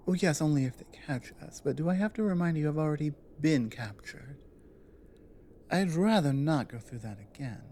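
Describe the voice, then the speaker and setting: A woman playing a guarded but loyal female orc warrior in an ASMR roleplay, speaking in a halting, earnest, plainly worded way. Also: sits low, lively intonation, warm tone. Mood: wary, practical.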